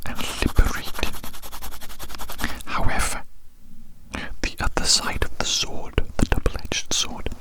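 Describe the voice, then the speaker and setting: A man whispers a slow, repetitive, reflective ASMR ramble very close to the microphone. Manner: whispers throughout, repeats phrases slowly and reflectively. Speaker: ASMR video creator. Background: scratchy drawing sounds.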